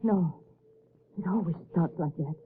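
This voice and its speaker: An actress in a 1930s radio drama playing a frail, frightened wife who pleads in a panic. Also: female voice, lowish voice, unhurried, background noise, natural voice. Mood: pleading. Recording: tinny old radio broadcast.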